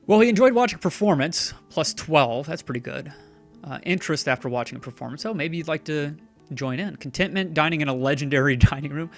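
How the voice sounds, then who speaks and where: A man's voice: on the high side, a bit bright. A man streaming a video game, chatting loosely and sarcastically talking back to the characters on screen. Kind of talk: rambling live-stream commentary.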